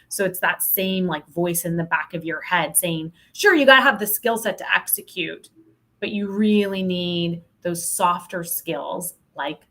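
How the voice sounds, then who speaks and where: Woman, middle-aged, slightly low voice, candid, wry, emphatic, podcast guest chat. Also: a bit bright. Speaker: podcast guest, sales trainer. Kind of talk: conversational podcast interview.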